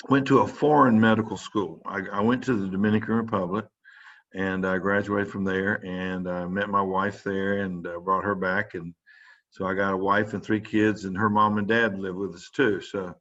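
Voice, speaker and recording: Older guy lecturing students over a video call, kinda low voice, lots of ums and restarts, dull muffled sound. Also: fairly bright tone. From South Carolina. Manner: rambling, many fillers and restarts. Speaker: physician lecturer.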